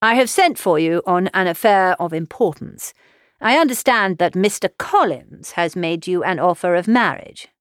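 A woman reader plays a middle-aged father from a classic novel. He is unruffled and sardonic, speaking in formal, measured phrases with a deadpan edge. The recording is dry and free of room sound. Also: on the low side, dry recording, natural voice. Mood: mock-stern. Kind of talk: audiobook character voice, classic novel.

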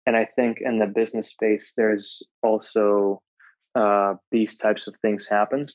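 A man, a startup founder answering interview questions in a halting way, with many filler sounds. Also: on the low side, level tone, no echo, muffled recording. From Russia.

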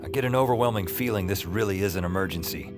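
A low-voiced, world-weary man played by an actor narrates and talks in a noir audio drama. He is wry and deadpan, like someone on a long night shift, with music playing behind him. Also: fast, natural voice. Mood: brooding, wry. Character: world-weary male night-shift emergency dispatcher who sees strange things.

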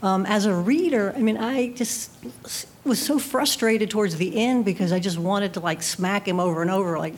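A woman's voice: mid-range voice, normal speed. Woman asking over faint room noise. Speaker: audience member asking a question. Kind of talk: casual audience question. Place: live book fair event. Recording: faint ambient event noise.

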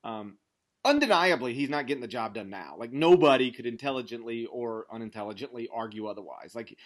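A man's voice: medium pitch, lively intonation, fairly quick. Rambling, emphatic American man in his thirties. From Memphis area, southern United States. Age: 30s. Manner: rambling, emphatic, says "like" constantly. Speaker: sports podcast host. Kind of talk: casual sports chat.

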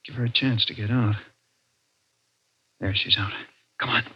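An actor playing a practical, protective young husband in a 1940s radio suspense drama, speaking in urgent, hesitant questions and short orders. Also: male voice, mid-range voice, steady tone, a little slow, slightly rough. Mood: hushed urgency. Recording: dull vintage 1940s radio, faint hiss.

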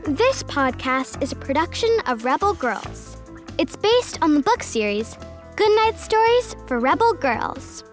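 A high-pitched, child-like voice reads scripted podcast credits. The melody swings up and down in a lively way, with light music playing behind.

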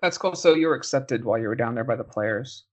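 A man's voice: mid-range voice, animated, quick pace, darkish tone. A man hosting an interview, asking friendly, encouraging questions. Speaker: interview host.

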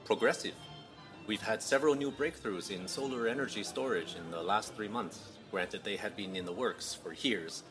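A man's voice: fairly low pitch, normal speed, music in the background, close to an everyday voice. A man playing a genial, proud father in his fifties in a naturalistic audio drama, wry and courteous. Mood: interested.

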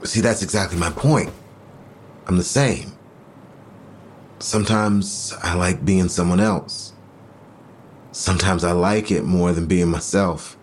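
Deep-voiced, halting, earnest boyfriend. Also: male voice, slight background noise. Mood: earnest, confiding. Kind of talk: naturalistic audio drama dialogue.

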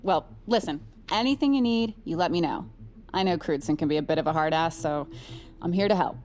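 A woman's voice: low-pitched, brisk, a little mellow, lightly acted. A woman playing a chummy superior agent in an audio drama, talking in a casual, wry, coaxing way. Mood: coaxing, warm. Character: breezy, chummy, sly superior female agent. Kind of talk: scripted audio drama acting.

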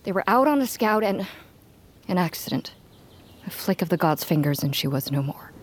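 A woman acting a proud, bitter young underdog warrior in a fantasy audio drama, intense and often hushed. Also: fairly low pitch, sing-song, normal speed. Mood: defensive, grieving.

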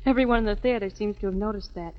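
An actress playing a poised young opera singer, polite, light and gracious, in a 1940s radio drama. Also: female voice, medium pitch, rapid-fire, natural voice. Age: young adult. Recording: dull old 1940s radio broadcast.

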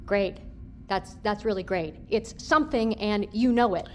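An actress playing an outraged, defiant crew member in an audio drama, confrontational, sarcastic and emphatic. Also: female voice, mid-range voice, a little slow, a little mellow, slight background noise, lightly acted. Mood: bitter, angry.

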